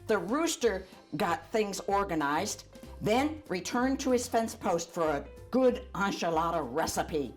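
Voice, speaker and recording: An older woman reads a children's storybook aloud in an animated, chatty way, adding asides for young listeners. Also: medium pitch, unhurried, soft background music. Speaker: volunteer storyteller.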